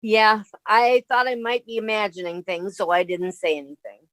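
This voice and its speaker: A woman's voice: medium pitch, normal speed, a bit bright, close to an everyday voice. A woman playing a sensible, exasperated young roommate in a radio comedy. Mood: agitated, confiding. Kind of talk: 1950s radio sitcom reading.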